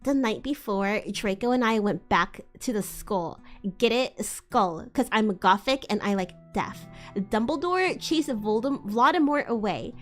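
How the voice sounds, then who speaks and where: High-voiced woman reading theatrically, unhurried. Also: faint music behind. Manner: theatrical, expressive. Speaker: livestream host. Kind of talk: live dramatic reading of fan fiction.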